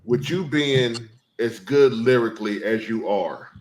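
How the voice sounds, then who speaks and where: A man asking interview questions in a measured, deliberate way. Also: medium pitch, expressive. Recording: roomy echo, faint noise.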